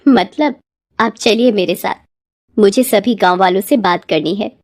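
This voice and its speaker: A woman's voice: medium pitch, measured pace, a little mellow. A woman voicing a determined, defiant young village daughter in a cartoon moral story, firm and earnest. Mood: resolute.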